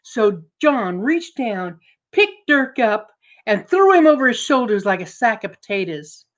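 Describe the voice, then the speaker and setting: A woman telling a story dramatically to an audience, deliberate and emphatic, building suspense. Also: a little high, very animated, lo-fi sound.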